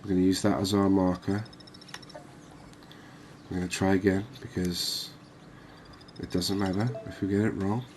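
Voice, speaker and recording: A man doing soft-spoken ASMR game commentary in an unhurried, calming, reassuring manner. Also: low voice, slight background noise. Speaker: host of a cozy gaming channel.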